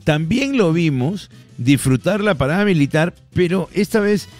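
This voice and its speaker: A man's voice: on the high side, animated, normal speed, a little mellow, soft background music. A man with a Peruvian accent hosting a comedy radio show with joking banter.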